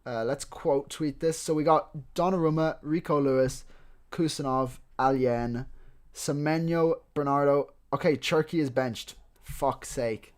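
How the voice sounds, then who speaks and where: A man's voice: a little high, steady tone, a little slow. A man with a neutral Irish accent hosting a livestream, chatting informally with viewers and swearing freely as he reacts to news. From Ireland and several countries abroad. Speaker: livestream host. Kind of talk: casual livestream chat reacting to team news.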